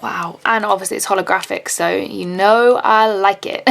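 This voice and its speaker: Gushing raspy woman vlogger. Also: medium pitch, a little slow, slight background noise. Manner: gushing, excitable, many "oh my God" and gasps. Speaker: vlogger unboxing handmade clay charms. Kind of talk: casual unboxing chat.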